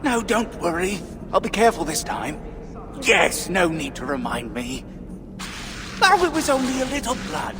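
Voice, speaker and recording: An eccentric, secretive dwarf who is clearly cracked. The high voice swings wildly from muttering to giggly protest, in a long cavernous reverb. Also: male voice, big pitch swings, moderate pace, crowd noise. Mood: furtive, amused. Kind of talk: comic video game acting. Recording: reverberant, noisy game cutscene ambience.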